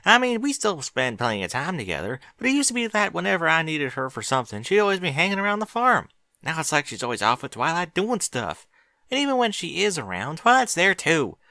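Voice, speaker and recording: A man's voice: a little high, huge pitch swings, a little fast, bright, forceful tone, dry recording, clean studio sound, pitched above the performer's own voice, full character voice. A man voicing a spunky young filly pony girl in a fan fiction reading, with an eager, indignant way of talking. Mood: wistful.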